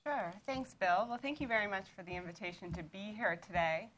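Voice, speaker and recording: A woman in her thirties from Canada with a Canadian accent, an author speaking at a panel in a measured, explanatory way with occasional restarts. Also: mid-range voice, animated, fairly bright tone, slight background noise, rough recording. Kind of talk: lecture-style talk.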